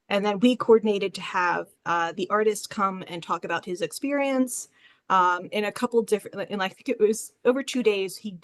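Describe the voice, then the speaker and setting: A woman's voice: medium pitch, a bit bright, dry recording. A woman speaking informally as an academic librarian on a discussion panel, explaining things in a rambling, conversational way.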